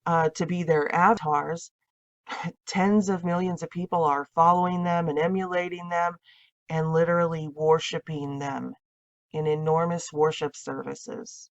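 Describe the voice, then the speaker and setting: A woman's voice: sits low, steady tone, a little slow, no room sound, muffled recording. Nasal, congested woman preaching fervently. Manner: fervent, preachy, rambling with laughs. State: recovering from illness, congested. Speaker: online video host. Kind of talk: casual preaching monologue to camera.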